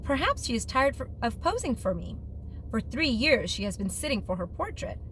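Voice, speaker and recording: Woman reading as a deliberate, thoughtful storybook painter. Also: on the high side, very animated, fairly bright tone, slight background noise, voice pushed up, rough recording. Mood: pondering. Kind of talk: storybook read-aloud.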